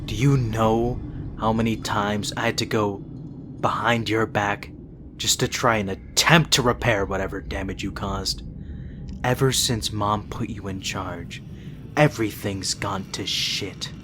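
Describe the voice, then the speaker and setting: A man's voice: lowish voice, fairly even intonation, measured pace, background noise, natural voice. A man playing a principled, exasperated younger-brother vampire prince in an audio roleplay, speaking in an earnest, reproachful way. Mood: bitter, reproachful.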